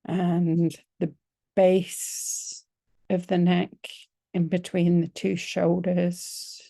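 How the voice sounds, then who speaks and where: A woman teaching yoga nidra, leading a guided relaxation in a gentle, soothing, unhurried manner with long pauses. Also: lowish voice, level tone, vocal fry, dry recording.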